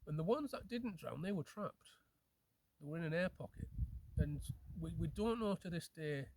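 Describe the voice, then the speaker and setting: A man's voice: on the high side, animated, moderate pace, a little mellow, rough recording. A man telling local folklore in a conversational way, feeling for his phrasing and checking for agreement. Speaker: storyteller, host.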